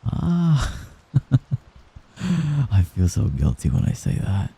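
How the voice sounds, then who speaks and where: Man acting very deep, murmuring doting mafia heir. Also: wildly swooping pitch, creaky, slightly rough, full character voice. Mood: tender, encouraging. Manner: soft-spoken, halting, apologetic while giving orders. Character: young mafia heir, gentle, hesitant, doting, possessive friend. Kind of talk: intimate ASMR roleplay.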